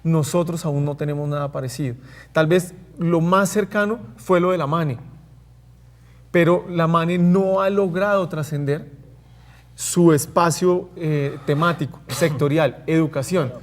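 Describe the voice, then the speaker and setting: A man speaking on a debate panel, measured and hedging with frequent fillers. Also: a little high. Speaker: panel guest.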